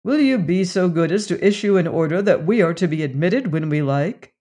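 A man voicing a practical adventurer who gives brisk, commanding orders. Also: high voice, expressive, darkish tone. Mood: urgent.

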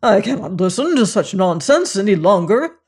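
A sanctimonious older churchman who lectures and scolds from a height of self-importance, as the reader puts him on. His voice sits at an ordinary middle pitch. He speaks with pious, condescending authority. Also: big pitch swings, normal speed, a little mellow, slightly rough. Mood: indignant. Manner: sanctimonious, lecturing, rising to indignant. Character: pompous older male clergyman, self-righteous and evasive.

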